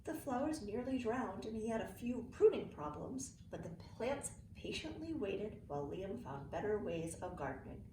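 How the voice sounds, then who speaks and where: Middle aged lady teacher reading a picture book to little kids, lively up and down voice, echoey, bit of hiss. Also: medium pitch, moderate pace, hardly any pauses. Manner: expressive, clearly phrased storytelling for young children. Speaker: early learning teacher reading to students. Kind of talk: children's picture book read-aloud. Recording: roomy, distant mic with faint noise.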